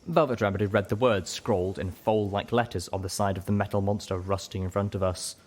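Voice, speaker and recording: A man reading a first-person story aloud as an expressive scripted narration. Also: lowish voice, fairly quick.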